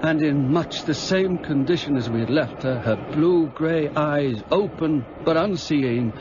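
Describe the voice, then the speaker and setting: A man's voice: on the high side, a little slow, close to an everyday voice. An actor playing a British doctor who narrates his detective friend's adventure in formal, vivid radio drama style. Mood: gripping, dramatic. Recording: dull sound, clear background noise.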